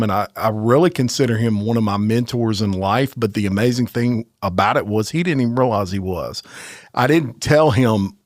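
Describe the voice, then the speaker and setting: A man hosting a casual interview podcast, speaking at a middle pitch. His voice sounds dry and close, with no room echo. He chats easily and keeps up a run of short affirmations while his guest talks. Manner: chatty, frequent short affirmations. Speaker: podcast host.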